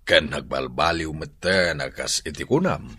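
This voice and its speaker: A man's voice: rumbling low, measured pace, pushed and bright, breathy edge, heavily acted. An actor playing an older father, firm but approving, in a radio drama.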